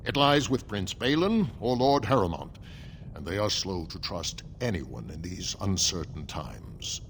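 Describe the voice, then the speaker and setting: An actor playing an exhausted, stern but courteous dwarf assembly steward in a video game. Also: male voice, sits low, big pitch swings, unhurried, a little hiss or hum, exaggerated voice. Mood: weary, courteous. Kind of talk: video game dialogue acting.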